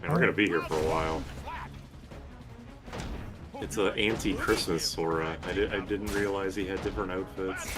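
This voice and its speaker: A man's voice: low-pitched, steady tone, moderate pace, pauses often. A man giving casual, rambling gameplay commentary with some swearing. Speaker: video game streamer. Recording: game music behind the voice. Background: video game music.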